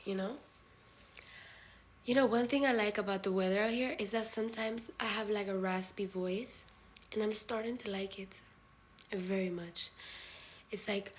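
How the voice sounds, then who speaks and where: A woman's voice: medium pitch, slight background noise. A woman who grew up in Spain, hosting a livestream and chatting with viewers in a candid, blunt, profane way, slipping Spanish phrases into her talk. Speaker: livestream host. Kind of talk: casual live chat with viewers.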